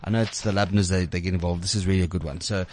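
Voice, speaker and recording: A South African man hosting a chatty talk radio show, effusive and quick to repeat words in excitement. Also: deep voice. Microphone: studio microphone.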